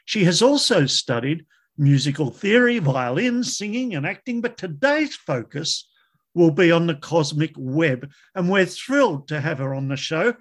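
A man's voice: on the high side, very animated, a little slow. Upbeat man hosting a science interview. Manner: enthusiastic, upbeat introductions. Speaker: podcast host. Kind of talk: scripted show intro and interview questions.

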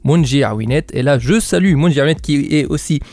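Tunisian guy hosting a radio gaming show, talks super fast, chatty. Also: fairly high pitch, a little mellow, no room sound. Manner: rapid code-switching, chatty. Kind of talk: casual radio interview.

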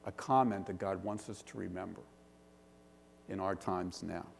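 A pastor from the Pittsburgh area with a Western Pennsylvania accent, preaching earnestly, asking rhetorical questions and stressing slow lists. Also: male voice, sits low, steady tone, gravelly, slight background noise. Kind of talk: sermon. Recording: long reverb of a large hall.

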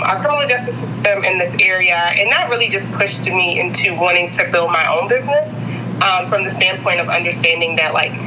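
A woman's voice: on the low side, noisy background. A woman in her thirties from Maryland with an American accent, chatting casually and earnestly as a podcast guest. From Maryland, United States. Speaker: podcast guest, nonprofit co-founder. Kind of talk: casual conversational interview.

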